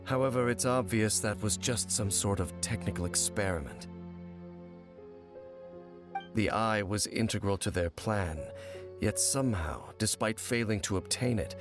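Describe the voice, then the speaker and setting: A man voicing a grave, secretive wanderer in a video game, speaking in a measured, formal way. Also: on the low side, natural voice. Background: game soundtrack music.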